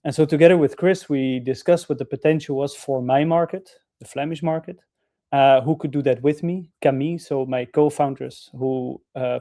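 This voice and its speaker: Flat, mellow-voiced Dutch-born man. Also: medium pitch. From Netherlands. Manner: earnest, long winding sentences. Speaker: franchise partner, guest speaker. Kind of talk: webinar storytelling. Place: office.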